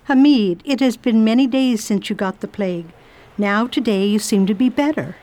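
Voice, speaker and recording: A woman playing a brisk no-nonsense traveler. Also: a little high, mellow, no room sound, lightly acted. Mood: calm. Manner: crisp and commanding. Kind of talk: community radio drama acting.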